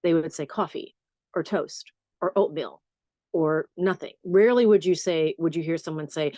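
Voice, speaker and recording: A middle-aged woman with a notably low, deep voice talks in a relaxed, storytelling way, dropping into lively asides now and then. She sounds close and dry, as if right at a studio microphone. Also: normal speed, dry recording. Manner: warm storytelling, animated asides. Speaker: journalist and podcast host. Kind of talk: relaxed interview answers.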